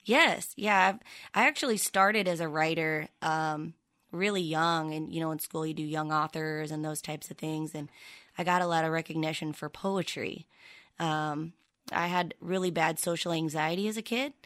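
Low-voiced Midwestern woman, country singer guest; chatty, rambling storyteller. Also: moderate pace, fairly bright tone, dry recording. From Midwestern United States. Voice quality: lower speaking voice. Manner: chatty storytelling with many fillers and restarts. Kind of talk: conversational interview.

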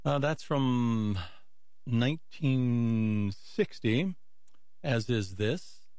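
A man presents records on a music radio show in a casual, chatty way. His voice has a middle pitch and sits close and dry, with informal collector's asides between songs. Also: animated, drawn-out words, a little mellow, clean tone, dry recording. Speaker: radio host.

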